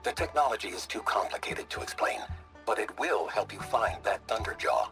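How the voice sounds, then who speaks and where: An actor playing a mysterious, knowledgeable man who speaks in a calm, reassuring, slightly smug and measured way in a video game. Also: on the low side, soft background music. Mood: composed. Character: mysterious, knowledgeable male stranger, calm and slyly amused.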